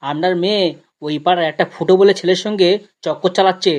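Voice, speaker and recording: A man's voice: high voice, fairly even intonation, quick pace, natural voice. A man playing an aggrieved, crude village husband in a comedy cartoon, complaining in everyday words. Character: aggrieved, foul-mouthed village husband and son-in-law. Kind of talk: Bengali comedy cartoon dialogue. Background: background music.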